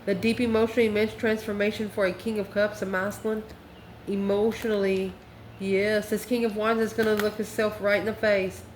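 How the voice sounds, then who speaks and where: A woman's voice: medium pitch, flat delivery, a little fast. Folksy woman reading tarot in echoey room. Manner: folksy, emphatic, addresses listeners with endearments. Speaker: tarot card reader and channel host. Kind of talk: informal spoken tarot reading. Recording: roomy echo, faint background noise.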